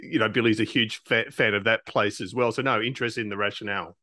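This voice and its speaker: A man's voice: on the low side, fairly even intonation, fast talker, bright, forceful tone, no echo, studio quality. A man co-hosting a podcast, putting questions to a guest in casual interview chat.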